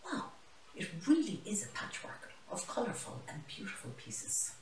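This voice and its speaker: A woman reads an unsure female giraffe from a picture book. She sounds subdued, fairly low, in a roomy space. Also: huge pitch swings, unhurried. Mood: amazed. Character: insecure young female giraffe, glum, then amazed. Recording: roomy, mic some distance away.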